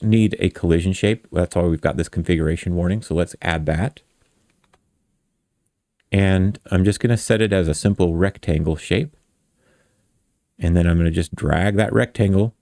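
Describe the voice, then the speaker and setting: A man's voice: on the low side, gravelly, a little mellow, no echo, clean studio sound. A man hosting a casual coding tutorial, thinking aloud in an informal, self-deprecating way. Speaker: tutorial host.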